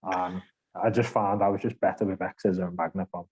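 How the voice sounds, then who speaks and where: Mellow man, rambling gamer chat. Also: deep voice, steady tone, brisk, slightly rough. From Liverpool, England. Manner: rambling, laughs a lot, self-deprecating. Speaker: podcast panelist. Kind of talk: casual gaming chat.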